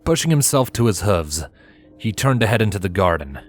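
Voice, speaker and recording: A man's voice: lowish voice, sing-song, a little fast, soft background music. A man reading a story aloud as a scripted narration. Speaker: fan fiction reader and narrator.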